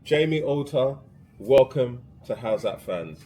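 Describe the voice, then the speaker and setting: Mellow-voiced male podcast host. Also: medium pitch, expressive, a little slow. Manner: friendly, short prompts and questions. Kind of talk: casual interview.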